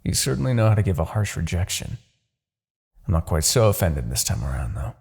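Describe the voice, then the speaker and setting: Man acting a smug, gravelly, deep-voiced Demon King. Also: very animated, darkish tone, exaggerated voice. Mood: smug, confident. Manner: taunting, theatrical, drawn-out deliberate phrasing. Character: smug, commanding male Demon King, silkily menacing and possessive. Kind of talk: fantasy ASMR roleplay acting.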